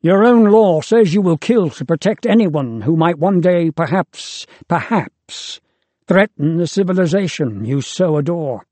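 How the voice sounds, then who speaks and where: A man's voice: fairly high pitch, animated, unhurried, warm tone, no echo, pitched above the performer's own voice. A man voicing a proud, defiant male angel, speaking in a lofty, contemptuous, declamatory way. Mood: defiant, scornful. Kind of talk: audiobook character reading.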